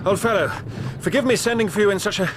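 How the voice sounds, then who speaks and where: An actor playing a distraught young English gentleman with an upper-class British accent, speaking in an agitated, well-bred way in a radio drama. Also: sits high, fast talker, background noise, natural voice. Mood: distressed, hesitant. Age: young adult. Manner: agitated, hesitant, well-spoken.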